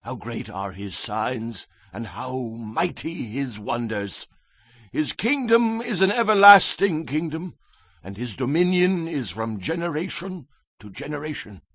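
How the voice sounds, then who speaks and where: A man's voice: mid-range voice, sing-song, unhurried, fairly bright tone, no room sound, studio quality, exaggerated voice. An actor playing a proud, wrathful ancient Babylonian king, declaiming grandly in a dramatized scripture recording. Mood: solemn, grand. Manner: theatrical, declamatory, imperious.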